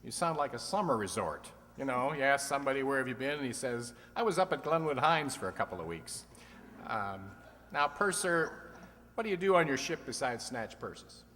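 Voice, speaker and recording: A man's voice: mid-range voice, big pitch swings, normal speed, fairly bright tone. Man playing a wisecracking quiz show host, echoey hall. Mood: teasing. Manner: wisecracking, mock-obtuse, needling questions. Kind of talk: recreated old-time radio comedy quiz show. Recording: echoey hall with long reverb.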